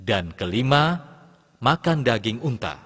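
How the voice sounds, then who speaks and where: Man as cartoon Islamic teacher: patient, formal instructor, animated intonation, long reverb. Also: medium pitch, a little slow, slightly rough, full character voice. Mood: patient, instructive. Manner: formal, didactic. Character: patient male religious teacher in an educational cartoon. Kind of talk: scripted instructional narration.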